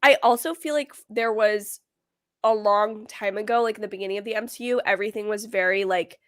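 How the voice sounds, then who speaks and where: A young woman hosting a casual livestream chat, talking fast with many fillers, her voice a little hoarse and congested from a cold. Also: medium pitch, a bit bright. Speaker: livestream host.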